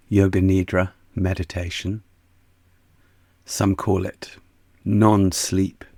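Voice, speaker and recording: Slow, gravelly-voiced man guiding a meditation. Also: low-pitched, no echo. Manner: soft, soothing, unhurried guidance. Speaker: meditation guide. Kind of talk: guided yoga nidra meditation.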